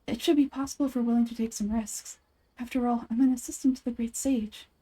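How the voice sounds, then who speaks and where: A woman's voice: on the high side, steady tone, quick pace, warm tone, lightly acted, low-quality recording. A woman voicing an earnest, guarded scholar's assistant in a video game, serious and careful.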